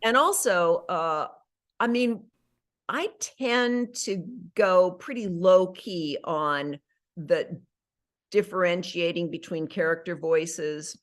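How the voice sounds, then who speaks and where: A middle-aged woman with a somewhat low voice chats easily in an interview. She talks in a lively, rambling way, peppering her sentences with "like" and restarting her thoughts as she goes. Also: slow. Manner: chatty, animated, full of "like" and self-corrections. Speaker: actress and audiobook narrator. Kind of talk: casual interview chat.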